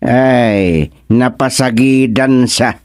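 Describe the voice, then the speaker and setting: A man acting as an excitable, gossipy village official in an Ilocano radio comedy drama, with an Ilocano accent and drawn-out exclamations. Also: medium pitch, no echo, clean studio sound. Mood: amused, gossipy.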